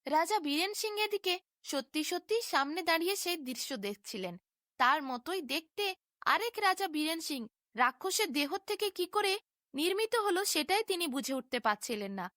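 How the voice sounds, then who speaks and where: A woman's voice: extremely high pitch, sing-song, moderate pace, sharp tone, no echo, clean studio sound. A woman narrating a scripted bedtime fairy tale in a dramatic storytelling manner.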